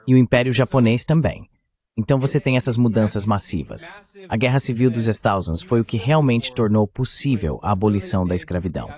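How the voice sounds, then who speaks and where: A man's voice: lowish voice, fast talker, darkish tone. A man speaking as an analyst in a dubbed political interview, making his points in an emphatic, argumentative way. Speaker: guest geopolitical analyst. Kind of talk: political commentary, dubbed voice-over.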